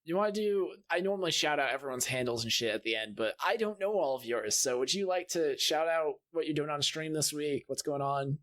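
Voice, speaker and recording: A man's voice: a little high, expressive, fairly quick, hardly any pauses, fairly bright tone. A man hosting a casual group podcast in a chatty, informal style. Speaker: podcast host.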